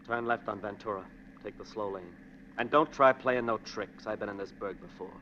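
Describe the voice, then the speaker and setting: An actor playing a rough, slangy, threatening carjacker in an old radio suspense drama. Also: male voice, on the low side, moderate pace, sharp tone. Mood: menacing. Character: menacing gunman carjacker, rough slangy crook. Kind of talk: 1940s radio suspense acting. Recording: dull, noisy vintage radio broadcast.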